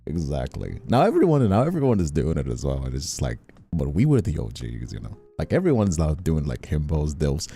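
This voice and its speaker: A man's voice: a bass voice, wildly swooping pitch, a little fast, creaky, hardly any pauses, dark tone, husky. A young adult man chatting casually on a livestream, rambling, stammering and repeating his words. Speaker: streamer and ASMR creator. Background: background music.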